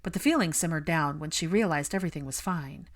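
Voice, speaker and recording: A woman's voice: low voice, quick pace. A woman reading a story aloud as an audiobook narrator, in scripted third-person narration. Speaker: audiobook narrator of fan fiction.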